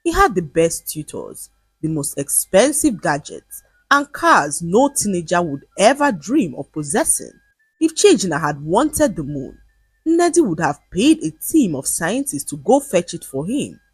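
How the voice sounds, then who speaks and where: A woman storyteller delivering scripted, dramatic folktale narration in an expressive way. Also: lowish voice, measured pace, husky, no room sound, soft background music.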